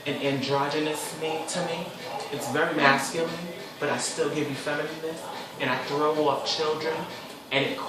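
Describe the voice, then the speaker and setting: A chatty man in his twenties hosts his own show and talks things through at length, now and then breaking into sudden high squeals. His voice sits at an ordinary male pitch. He is recorded a little away from the mic in a very echoey room. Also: distant mic, background noise. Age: 20s. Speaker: host. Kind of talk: casual solo talk show monologue.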